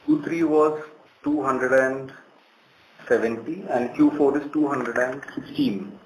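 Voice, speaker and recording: A man with an Indian accent speaking as a company executive, giving measured, halting explanatory answers on a conference call. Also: mid-range voice. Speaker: bank executive answering analyst questions. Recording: roomy conference call, faint noise.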